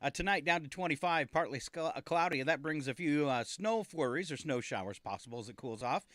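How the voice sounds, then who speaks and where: A man hosting a morning radio show, chatting casually with many "uh"s and quick jokes about the weather. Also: fairly high pitch, animated, fairly bright tone, dry recording, studio quality. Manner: joking, easygoing, filler-heavy. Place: radio studio. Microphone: studio microphone.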